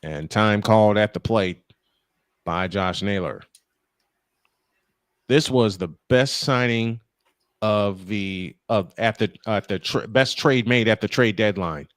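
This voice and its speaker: A man calling baseball play-by-play and telling anecdotes between pitches. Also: slow, long pauses, dry recording, clean studio sound. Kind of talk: live sports commentary with anecdotes.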